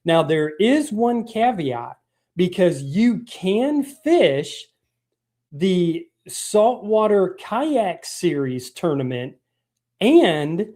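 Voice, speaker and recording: A man's voice: high voice, very animated, very slow, dark tone. A man hosting a live fishing show, his voice froggy from a lingering cough, talking loosely with many ums and restarts. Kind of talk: live stream show talk. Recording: live stream broadcast.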